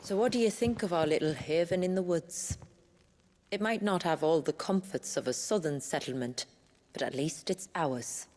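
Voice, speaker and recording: A woman playing a proud, weary village elder in a video game, with a measured, solemn manner. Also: fairly low pitch, a little mellow, natural voice. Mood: quietly proud. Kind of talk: video game cutscene acting. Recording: music playing behind the voice.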